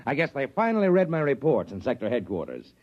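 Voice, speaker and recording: An actor playing a blunt, exasperated head trader, impatient and dry, in 1950s radio drama style. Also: male voice, mid-range voice, wildly swooping pitch, quick pace. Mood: surprised, eager. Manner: blunt, impatient, dryly sarcastic. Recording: dull old radio recording.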